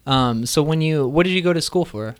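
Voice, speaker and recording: Smooth-voiced chatty man in his thirties. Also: a little high, lively intonation, a little fast. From Illinois, Midwest US. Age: 30s. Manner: enthusiastic, chatty, swears casually. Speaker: podcast host. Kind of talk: casual interview chat.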